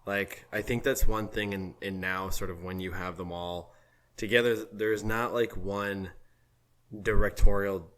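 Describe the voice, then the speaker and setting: Tired, hoarse-voiced man chatting. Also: lowish voice, steady tone, a little slow, fairly bright tone. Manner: loose, rambling storytelling with lots of laughing. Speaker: podcast co-host. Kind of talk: casual chat.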